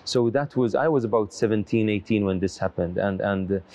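A man's voice: on the low side, moderate pace, mellow, muffled recording. A middle-aged man from Malta, a priest, answering interview questions in an earnest, reflective way with frequent restarts, seated in a large church. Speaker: priest and lecturer.

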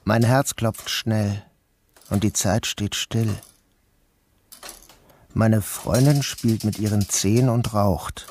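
An actor playing a troubled, dreamy grown son who narrates his surreal day in a measured, introspective way. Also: fairly low pitch, steady tone, background noise. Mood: brooding, introspective. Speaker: narrator and protagonist. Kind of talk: first-person literary radio play narration. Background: music.

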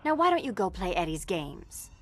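A sly, flirtatious cat burglar. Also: female voice, lowish voice, big pitch swings, normal speed, slight background noise. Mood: sardonic, teasing. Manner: teasing, purring, sardonic. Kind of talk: video game character acting.

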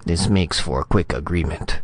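A man's voice: sits low, a little slow. A man playing a boisterous, lecherous alien king in a storybook reading, with grandly formal, jovial speech.

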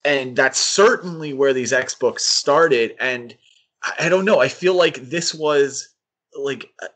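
A man's voice: medium pitch, unhurried, fairly bright tone, breathy edge. A man co-hosting a podcast, giving critical opinions in a casual discussion of comics. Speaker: podcast co-host.

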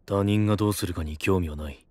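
A man's voice: deep voice, fast, a little mellow, no echo. An actor voicing a cold, aloof lone-wolf king in a fantasy drama, curt and detached. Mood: cold resolve.

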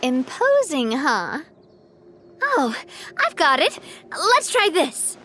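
A woman voicing a young, spirited lady boss in an anime video game, expressive and reassuring. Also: high voice, slow, a little hiss or hum, exaggerated voice. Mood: thoughtful. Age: young adult.

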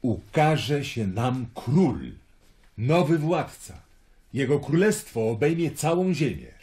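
An actor in a radio drama playing a worldly Roman traveler fascinated by prophecy, speaking in a wry, eloquent storytelling manner. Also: male voice, medium pitch, lively intonation, slow, darkish tone. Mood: earnest, persuasive.

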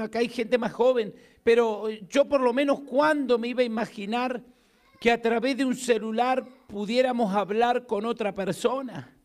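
A middle-aged man with an Argentine accent preaches from a pulpit in a church hall. His voice sits high for a man. He speaks into a handheld mic and swings between emphatic calls for an amen and hushed, quiet asides. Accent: Argentine Spanish. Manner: emphatic preaching, rising loud to call for amens and dropping to a hush. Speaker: pastor. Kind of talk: sermon. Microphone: handheld mic at a pulpit, far camera.

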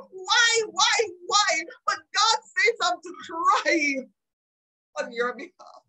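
High-pitched, impassioned woman preacher, tearful and slow. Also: sharp tone, poor audio. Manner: emotional, pleading, emphatic, repeating phrases for effect. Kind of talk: impassioned live online sermon.

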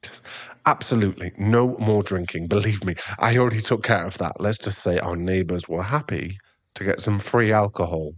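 A man playing a remorseful, tender boyfriend and expectant father in an intimate ASMR roleplay, speaking softly in halting, stammered phrases. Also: sits low, no echo. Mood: remorseful, earnest. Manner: halting, stammering, soft-spoken apology.

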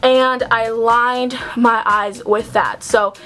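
Chatty young woman with a high voice. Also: steady tone, takes it slowly, pushed and bright, faint music behind. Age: young adult. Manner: chatty, many ums and likes, earnest advice. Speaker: beauty vlogger. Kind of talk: casual makeup tutorial chat. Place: small home room with shelves, indoors. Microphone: camera at close range.

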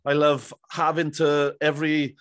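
A man's voice: a little high, flat delivery, unhurried, fairly bright tone, dry recording, clean studio sound. A man, hosting a casual, jokey interview. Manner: chummy, jokey. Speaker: podcast host. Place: small indoor home studio. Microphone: studio mic on boom arm, headphones.

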